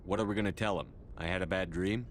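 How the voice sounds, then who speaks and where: A man's voice: low-pitched, steady tone, fast, slight background noise, natural voice. A man playing a stoic, resolute soldier in command, with terse, restrained, serious delivery in a sci-fi video game. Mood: troubled. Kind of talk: cinematic sci-fi game dialogue.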